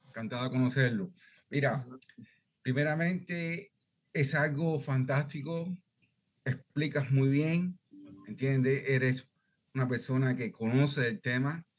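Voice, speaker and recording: A man's voice: medium pitch, slow. A middle-aged man with a Cuban accent, speaking on a headset from home in an online class Q&A, earnest and talkative, checking he is understood. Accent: Cuban Spanish. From Cuba. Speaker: student asking a question. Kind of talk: video call Q&A. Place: home room, indoors.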